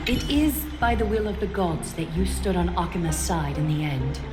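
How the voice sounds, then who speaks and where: A woman plays a stately female demigod from a fantasy game. Her lowish voice is solemn, formal and ceremonious, every phrase measured like a leader's blessing, over clear music. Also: expressive, lightly acted. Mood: solemn, commanding. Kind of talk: video game character acting. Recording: music behind the voice.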